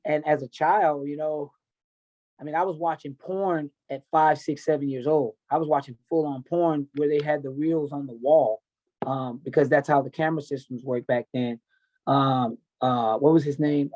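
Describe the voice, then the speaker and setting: High-voiced American man in his fifties. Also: a little mellow, highs cut off. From United States, grew up in Tennessee and California. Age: 50s. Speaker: podcast guest, MMA coach. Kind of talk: candid personal storytelling in an interview.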